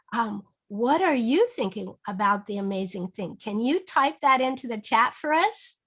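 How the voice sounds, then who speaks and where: A woman teaching through an interactive children's read-aloud, modeling phrases slowly and asking prompting questions. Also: fairly high pitch. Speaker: reading teacher. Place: online video session.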